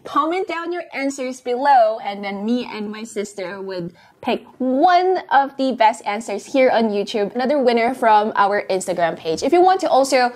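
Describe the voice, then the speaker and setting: Bubbly high-voiced young woman vlogging. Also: lively intonation, normal speed, hardly any pauses. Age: 20s. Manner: bubbly, giggly, sings and repeats phrases. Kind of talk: casual vlog chat. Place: small apartment room, indoors. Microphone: clip-on lapel mic.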